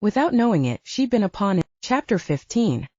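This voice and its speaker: A woman's voice: medium pitch, sing-song, normal speed, a little mellow, dry recording, lo-fi sound. A woman narrating a scripted novel as an audiobook reader.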